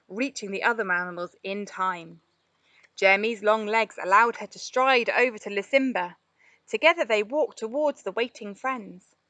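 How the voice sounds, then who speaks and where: A woman reading a children's storybook aloud in an expressive narrating style. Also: on the high side, dry recording. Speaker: storybook reader.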